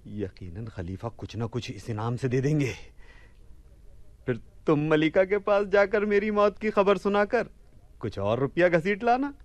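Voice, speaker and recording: Wily husband, swooping theatrical delivery. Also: male voice, fairly high pitch, sing-song, a little slow, no room sound. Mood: scheming. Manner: theatrical, exclamatory. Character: wily, broke husband scheming with his wife. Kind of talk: radio play acting.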